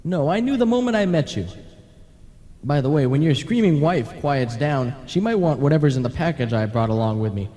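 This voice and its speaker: Sardonic radio-play ghost, male actor, smooth flatterer, mellow tone with swooping pitch, reverberant tape. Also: fairly quick, natural voice. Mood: flattering. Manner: flattering, smooth-talking, insinuating. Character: sly, sardonic male ghost, genially plotting. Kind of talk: radio comedy drama acting.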